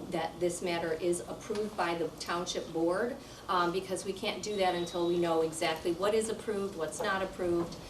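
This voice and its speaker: A woman giving a measured formal report on an agreement at a township meeting. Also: mid-range voice, background noise. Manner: measured legal phrasing. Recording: echoey room, distant mic.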